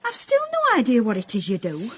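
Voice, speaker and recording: An older South Yorkshire mother in a radio comedy. She is dry and sceptical with a wistful streak, her fairly high voice moving up and down expressively. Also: huge pitch swings, a little fast, a bit bright, breathy edge, heavily acted. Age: middle-aged or older.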